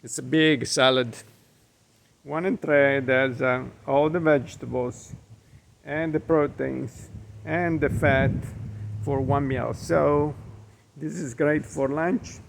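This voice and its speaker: A middle-aged man with a clear Italian accent, talking in a slow, unhurried way. His voice has a gravelly, creaky edge. He stops often to hesitate and hunt for English words, with faint outdoor ambience behind him. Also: medium pitch, steady tone, a little hiss or hum. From Italy. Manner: rambling, frequent ums and uhs, hesitant English. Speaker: chef. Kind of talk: casual cooking vlog walk-through. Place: outdoors by the Gulf of Mexico in Florida.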